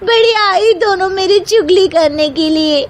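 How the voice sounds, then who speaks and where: A woman's voice: very high-pitched, measured pace, noisy background, pitched above the performer's own voice. A woman voicing a defiant, whiny young daughter-in-law who complains shrilly in a family story. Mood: indignant, whiny.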